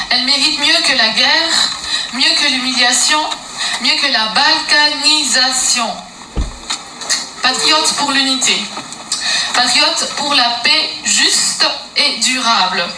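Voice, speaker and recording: A woman opening a press conference with a solemn, emphatic political declaration. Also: high-pitched. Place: meeting room in Brussels. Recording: echoey room from far off, noisy.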